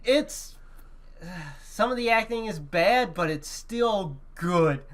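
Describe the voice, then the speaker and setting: A man with a fairly high voice talks as a podcast co-host. His delivery is casual and conversational, with informal, unpolished phrasing. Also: expressive, takes it slowly. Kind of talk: casual chat about video games and movies.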